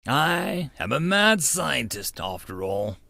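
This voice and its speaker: A man's voice: medium pitch, big pitch swings, takes it slowly, creaky, bright, forceful tone, no echo, clean studio sound, exaggerated voice. A man voicing a paranoid, theatrical young male mad scientist in a visual novel audiobook, with a grandiose, dramatic delivery.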